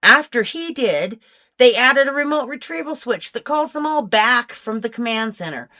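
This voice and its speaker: A restless female field agent in an audiobook , voiced by a woman reader, informal and teasing. Her voice is bright and forceful, itching for something beyond routine. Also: on the high side, expressive, moderate pace, pitched above the performer's own voice. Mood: restless, yearning.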